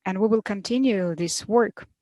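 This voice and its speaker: A man speaking on a panel, measured and halting, with frequent fillers and restarts. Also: high-pitched, darkish tone, no echo. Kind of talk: panel discussion remarks.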